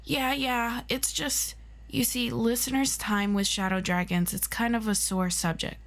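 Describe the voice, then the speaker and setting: A woman's voice: on the high side, steady tone, measured pace, pitched above the performer's own voice. A woman voicing an eager, protective young hero, a loyal and earnest friend, in an audio roleplay. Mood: nervous, defensive.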